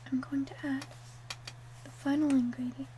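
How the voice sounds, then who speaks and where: A woman speaking in a hushed, gentle way, narrating an ASMR roleplay step by step. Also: sits high, level tone, moderate pace, slight background noise. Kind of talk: whispered ASMR roleplay demonstration.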